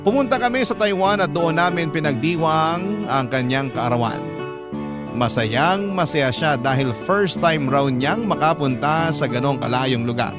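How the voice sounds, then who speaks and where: A man's voice: a little high, lively intonation, measured pace. A man with a Filipino accent reading scripted first-person stories as a radio drama host. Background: guitar music.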